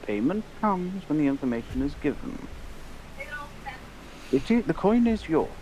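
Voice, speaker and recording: A man voicing a polite, formal gentleman adventurer who talks in measured, old-fashioned phrases and presses his point. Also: fairly high pitch, sing-song, slight background noise, poor audio. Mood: insistent.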